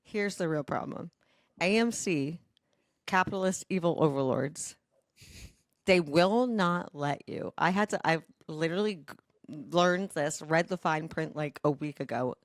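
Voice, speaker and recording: A woman podcast host telling stories in casual chat, with an animated, dramatic and sweary manner. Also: sits low, unhurried, no room sound, clean studio sound.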